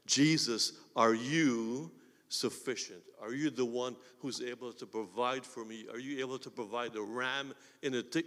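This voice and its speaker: Guyanese-accented pastor preaching, gets loud and fired up then drops quiet, long echo off the hall. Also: male voice, medium pitch. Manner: animated preaching with sudden loud emphatic bursts. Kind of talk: sermon. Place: church sanctuary.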